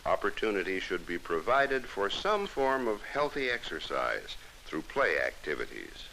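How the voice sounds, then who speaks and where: A middle-aged American man narrating a scripted 1960s educational film in a measured, formal, instructive manner, on an old film soundtrack. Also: low-pitched, wildly swooping pitch, creaky, pushed and bright, slight background noise, poor audio.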